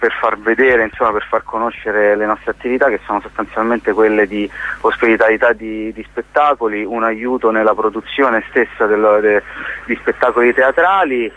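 Phone-line sound, man, lowish voice, hesitant delivery, informal radio guest, explaining. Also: steady tone, normal speed. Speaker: theatre organiser, radio interview guest. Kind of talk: informal interview, explaining events. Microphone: phone call.

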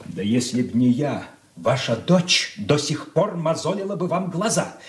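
An actor playing a petty, self-important son-in-law in a radio drama, fussy, pompous and querulous. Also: male voice, a little high, a little slow, lightly acted. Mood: indignant. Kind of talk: Soviet radio drama stage acting. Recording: echoey studio, distant from mic.

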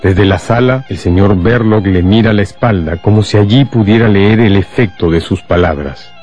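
A man narrating a scripted radio drama in a measured, dramatic storytelling manner. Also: fairly low pitch, darkish tone, faint music behind.

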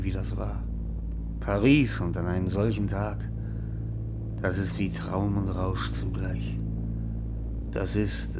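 An actor playing a wistful, worldly screenwriter in a German radio play, hesitant and given to repeating himself. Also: male voice, deep voice. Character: wistful, worldly male screenwriter giving in to champagne. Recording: noisy, with steady vehicle-like drone.

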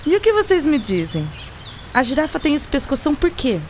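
A fairly high female voice with a Brazilian accent, an actress playing a friendly guide in a radio drama. She explains patiently and teasingly, as if chatting with young friends. Faint ambient noise sits behind her. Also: very animated, fairly quick. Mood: patient explaining. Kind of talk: conversational radio drama dialogue.

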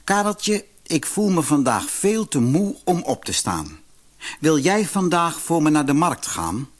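A man voicing a gentle, ailing widowed mother in a storybook, weak, sighing and tender. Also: fairly high pitch, sing-song, measured pace, pitched above the performer's own voice. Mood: tired. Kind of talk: storybook character voice.

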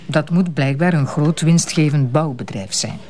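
A woman's voice: deep voice, expressive, moderate pace, darkish tone. Earnest Flemish woman investigator. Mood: explanatory. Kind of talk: Flemish radio detective drama acting.

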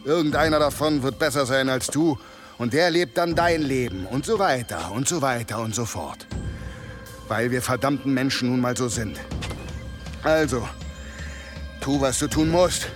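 An actor in a radio play voicing a weary, repentant medieval warrior, grave and resigned in measured phrases. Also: male voice, medium pitch, over music, natural voice. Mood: weary, impatient. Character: weary, repentant medieval berserker warrior sworn against weapons.

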